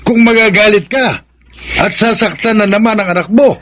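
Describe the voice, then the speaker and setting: An actor playing a calm old grandfather in a Filipino radio drama, admonishing gently in a measured way. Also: high-pitched.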